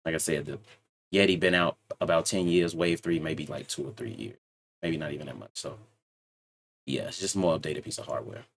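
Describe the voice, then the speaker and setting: Very deep-voiced man, loose and rambling. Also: fairly quick, gravelly, fairly bright tone, a little hiss or hum. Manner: loose, rambling, and self-assured. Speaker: channel host reviewing microphones. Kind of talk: casual tech review talk. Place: home computer setup with a loud PC fan. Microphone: desktop USB microphone.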